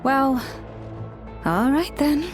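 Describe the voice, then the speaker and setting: A woman playing a composed, cautious young adventurer in a video game, with a measured, serious delivery. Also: high-pitched, lively intonation, over music, exaggerated voice. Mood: agreeing.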